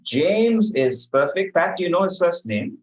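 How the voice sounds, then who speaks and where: A man hosting a quiz, reading questions to contestants and explaining answers in a measured, articulate way. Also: high-pitched, sing-song, slight background noise. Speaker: quiz master.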